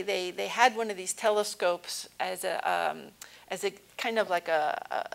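A woman's voice: medium pitch, creaky. A woman in her sixties giving an informal public science lecture, explaining things conversationally with plain-spoken asides. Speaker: astronomy lecturer. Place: indoor stage at a podium. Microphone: lapel mic.

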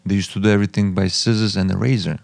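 Low, gravelly man from the former Soviet Union. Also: steady tone, rapid-fire, dry recording. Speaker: guest, master barber and museum owner. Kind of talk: conversational interview talk. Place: small podcast studio with acoustic foam. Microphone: close studio mic on boom arm.

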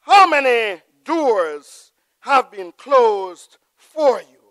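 Pastor guy at a church pulpit, really high bright voice, drags every word out slow, booms then goes quiet. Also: very animated, no echo. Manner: preaching with loud surges, then hushed prayerful close. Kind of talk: sermon. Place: large church sanctuary with choir behind. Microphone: pulpit mic.

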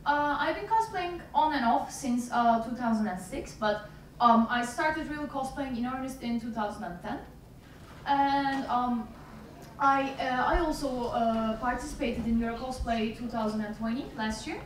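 A woman's voice: a little high, normal speed, a little hiss or hum. A young woman introduces herself hesitantly. She is heard from a distance in a very echoey room. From Turkey. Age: young adult. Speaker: cosplayer on panel. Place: conference room with audience.

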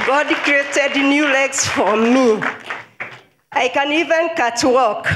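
Kenyan woman, Kikuyu speaker, slow earnest church testimony. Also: high-pitched, roomy sound, background noise. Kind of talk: healing testimony.

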